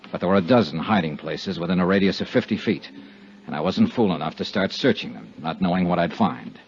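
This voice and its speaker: An actor as a low-voiced, wry hard-boiled American investigator. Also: male voice, lightly acted. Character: tough, wisecracking male insurance investigator narrating his case. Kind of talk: 1950s radio detective acting and narration. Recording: dull 1950s radio recording with music.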